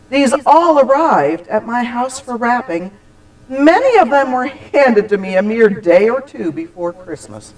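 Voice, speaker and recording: A woman telling a scripted personal holiday story, with deliberate, expressive, dramatic phrasing. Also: medium pitch, rough recording. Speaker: church storyteller.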